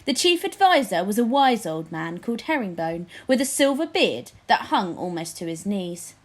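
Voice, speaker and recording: A woman's voice: mid-range voice, sing-song, normal speed. A woman narrating a children's storybook expressively, as a teacher reads aloud.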